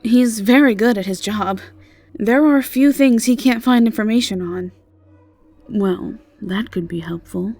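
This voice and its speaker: A woman's voice: fairly high pitch, measured pace, dark tone, faint music behind. A woman playing an awkward, friendly, easily flustered young innkeeper who stammers in a fantasy audio roleplay.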